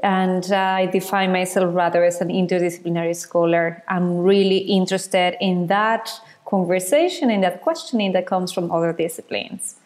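A woman's voice: lowish voice, fairly even intonation, moderate pace, darkish tone. A woman from Ecuador, a university lecturer speaking conversationally as a podcast guest. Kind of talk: conversational interview talk.

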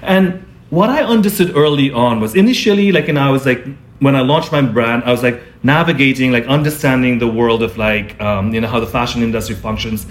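A man who is a fashion designer, speaking in a conversational interview, thoughtful and often pausing to search for his words. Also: medium pitch. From born in Singapore, grew up in Nepal and India.

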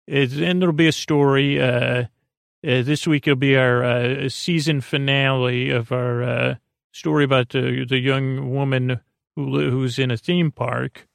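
A man hosting a bedtime podcast in a gravelly voice, rambling hesitantly and repetitively in a monologue meant to lull listeners to sleep. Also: medium pitch, steady tone, unhurried, dry recording, studio quality. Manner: meandering, hesitant, repetitive, with murmured asides. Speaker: podcast host.

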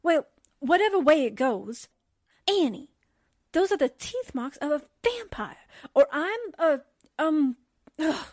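A woman playing a naive, excitable newspaper assistant in a 1940s-style radio drama, hesitant and wide-eyed in delivery. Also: high voice, slow, no room sound, studio quality, exaggerated voice. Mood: spooked, insistent. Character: excitable, naive, movie-struck female reporter's assistant.

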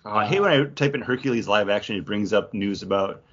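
A man co-hosts a podcast in a relaxed conversational style. His voice sits a little low and has a husky grain. The audio is somewhat dull and lo-fi. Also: quick pace. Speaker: podcast co-host. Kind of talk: casual chat about comics. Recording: dull, lo-fi sound.

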